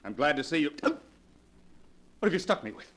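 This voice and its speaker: An actor playing a bewitched, unstable husband with halting, intense, theatrical 1940s radio delivery. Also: a little high, sing-song, fairly bright tone. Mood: suspicious. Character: bewitched, suspicious, unhinged husband possessed by spirit. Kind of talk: 1940s melodramatic radio acting. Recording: vintage 1940s radio recording.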